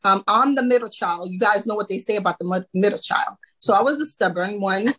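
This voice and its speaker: A woman's voice: medium pitch, brisk, low-quality recording. A woman in her thirties, born in Haiti and raised in Florida, a guest accountant telling her story with many "um"s over a video call from home. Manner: warm storytelling with frequent "um" and laughs. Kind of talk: casual podcast interview.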